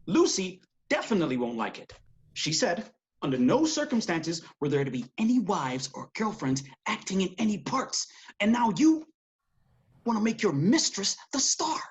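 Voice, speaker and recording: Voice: mid-range voice, sing-song, moderate pace, muffled recording, natural voice. An actor playing a blunt, stern, profane studio executive in a screenplay table read. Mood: disapproving.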